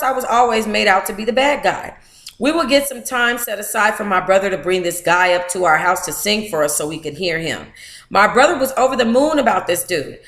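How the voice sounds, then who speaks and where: American woman reading aloud in an echoey room. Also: medium pitch. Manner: animated, playful, breaking off into teasing asides. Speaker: book club host reading a memoir aloud. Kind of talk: informal read-aloud with candid, profane asides.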